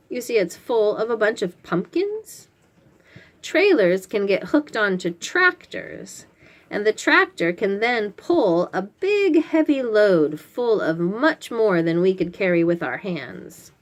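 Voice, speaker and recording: A woman's voice: medium pitch, sing-song, takes it slowly. A woman hosting a children's read-aloud, explaining a picture book to little ones in simple, instructive phrasing with questions to the listener.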